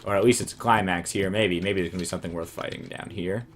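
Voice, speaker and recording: Deep-voiced man, hoarse game commentary. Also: steady tone, quick pace, slight background noise. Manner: rambling, self-amused, musing asides. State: voice going hoarse. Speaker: let's play commentator. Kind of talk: casual gameplay commentary.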